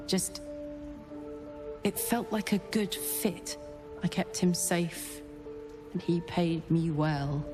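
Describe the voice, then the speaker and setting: Actress as tough tiefling ex-bodyguard woman, low voice, slow delivery, blunt and wounded, game cutscene, music behind. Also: lightly acted. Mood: wry reminiscing. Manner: informal, blunt, emotive storytelling. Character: brawny female tiefling ex-bodyguard, rough, wounded, bitter. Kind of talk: cinematic video game acting.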